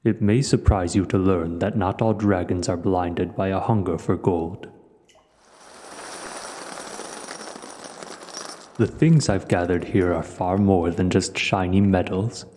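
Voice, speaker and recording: A man voicing a proud, cultured male dragon who speaks in a measured, formal way in an audio roleplay. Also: low-pitched, fairly even intonation, dark tone, slight background noise, close to an everyday voice. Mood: indignant, proud. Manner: measured, formal, haughty storyteller. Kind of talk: audio roleplay, scripted monologue to the listener. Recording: long cavernous reverb.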